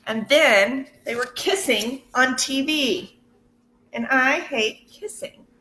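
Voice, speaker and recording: A woman teacher reading a picture book aloud to children in an expressive, deliberate way, pausing to put questions to her listeners. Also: sits high, a little hiss or hum. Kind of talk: storybook read-aloud.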